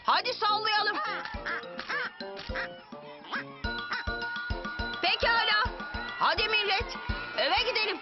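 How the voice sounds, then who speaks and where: Excitable high-pitched cartoon boy. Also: moderate pace. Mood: triumphant. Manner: exclamatory, earnest, energetic. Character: excitable, caring young boy cartoon hero, boomerang lover. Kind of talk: animated children's cartoon dub. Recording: dull lo-fi audio with music. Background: cartoon music.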